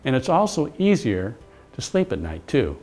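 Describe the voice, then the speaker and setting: A man's voice: medium pitch, huge pitch swings, normal speed, a little mellow. Businesslike man presenting scripted tips over music. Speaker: presenter, commercial mortgage broker giving tips. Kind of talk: scripted instructional narration.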